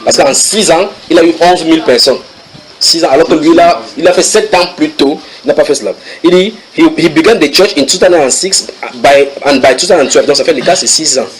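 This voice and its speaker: Man, preacher, somewhat high voice, lively sermon, reads English and translates, banters with the congregation. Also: a little fast, a crowd in the background, low-quality recording. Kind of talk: sermon teaching.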